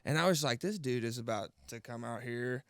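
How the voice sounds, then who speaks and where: A man's voice: mid-range voice, brisk, dry recording, studio quality. A man hosting an interview in a laid-back, upbeat way, full of casual chatter. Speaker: interview host.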